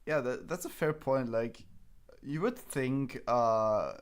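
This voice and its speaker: A man chatting casually as he streams a game, haltingly thinking aloud. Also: medium pitch, animated, smooth. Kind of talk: casual chat, game commentary. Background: game music.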